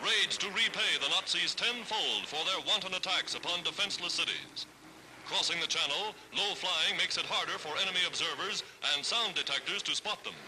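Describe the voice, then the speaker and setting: A man's voice: high voice, a little fast, background noise. An American man narrating a 1940s newsreel from a script, with an emphatic, declamatory delivery on a vintage film soundtrack.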